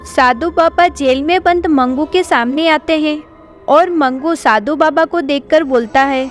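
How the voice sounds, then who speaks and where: A woman's voice: sits high, unhurried. A woman narrating a scripted horror story. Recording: background music.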